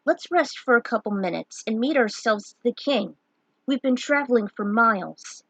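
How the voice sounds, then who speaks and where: A woman's voice: a little high, animated, normal speed, fairly bright tone, no echo. A woman voicing earnest, weary but determined heroes on a fantasy quest. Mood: relieved, weary.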